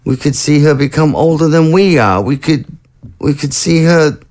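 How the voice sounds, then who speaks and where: An actor in an audio drama playing a troubled undead president who is an anxious, tender father, speaking in a halting, earnest way. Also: a little high, expressive, darkish tone, natural voice. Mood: anguished, hesitant.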